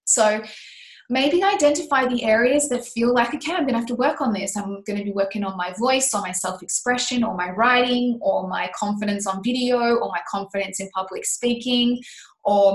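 A woman in her thirties coaching a mastermind group in casual talk, animated and laughing often as she acts out her past self. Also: on the high side, echoey room.

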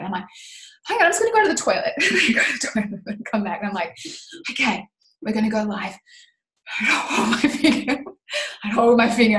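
A woman in her thirties coaching a mastermind group in casual talk, animated and laughing often as she acts out her past self. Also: a little high, echoey room.

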